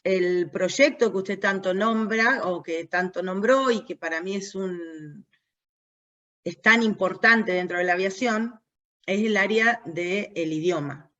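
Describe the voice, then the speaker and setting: A woman's voice: medium pitch, slow pace, fairly bright tone, muffled recording. A woman from the United States whose first language is English, speaking Spanish with an Argentine accent as an interview guest, reflective and often restarting her sentences. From United States (New Jersey). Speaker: guest, aviation safety specialist. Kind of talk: conversational interview.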